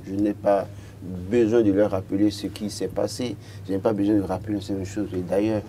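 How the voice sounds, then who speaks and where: A man in his thirties speaks French with a Beninese accent. His voice sits low and sounds dark and mellow. He argues assertively in a TV panel debate and stumbles over repeated words as he pushes his point. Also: a little hiss or hum. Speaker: political commentator on a panel.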